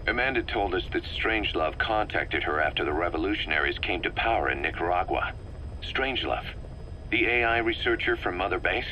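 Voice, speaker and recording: A man's voice: sits low, expressive, brisk. A man playing a bitter, driven middle-aged military officer in a video game, briefing in a clipped, emphatic way. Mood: puzzled, briefing. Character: bitter, driven military second-in-command, vengeful and intense. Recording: faintly noisy cassette tape recording.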